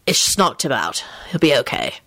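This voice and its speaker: An injured man, as a woman narrator plays him, pitched down low. He is curt, stern and strained, keeping control in a storybook drama. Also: sing-song, a little fast, fairly bright tone, breathy edge, no room sound, exaggerated voice. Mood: choked, reassuring. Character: injured, curt, calm man taking charge.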